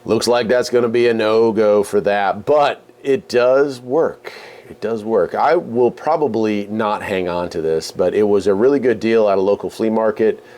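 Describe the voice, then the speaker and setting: A man with a fairly low voice talks through a hands-on demonstration in a casual, folksy manner. He muses aloud as he tinkers, and there is some noise behind him. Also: moderate pace, a little mellow. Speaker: radio hobby video host.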